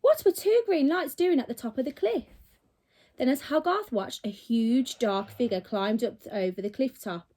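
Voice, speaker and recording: A woman reading a children's storybook aloud in an animated, dramatic, teacherly way. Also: sits high, normal speed. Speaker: primary school teacher.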